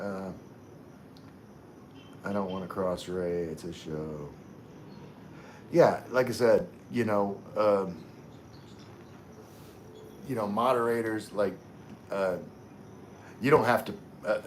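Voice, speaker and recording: A man from Louisiana hosting a casual livestream, reading chat comments aloud and answering in a relaxed, rambling way full of fillers and restarts. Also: lowish voice, a little hiss or hum.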